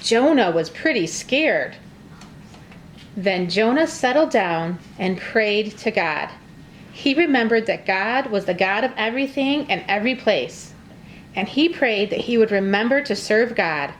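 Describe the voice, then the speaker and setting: A woman narrating a scripted story for children in a simple, clearly phrased read-aloud way. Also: medium pitch, animated, measured pace, a little hiss or hum. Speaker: narrator of a children's church puppet show.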